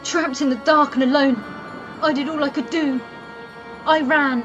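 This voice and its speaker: Music runs under a slightly dull recording of a woman playing a human alchemist trapped and determined to survive. She narrates in the first person with grave, theatrical delivery and a fairly high pitch, in gothic fantasy audio drama style. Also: fairly even intonation, moderate pace, natural voice. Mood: fearful, tense.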